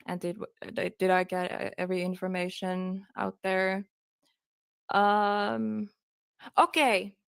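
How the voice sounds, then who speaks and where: A young woman with a Finnish accent, reading a true crime case aloud on a live stream, hesitant with many pauses and fillers. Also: mid-range voice, flat delivery, vocal fry, smooth, no echo, studio quality. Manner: hesitant, many ums, reads quotes aloud.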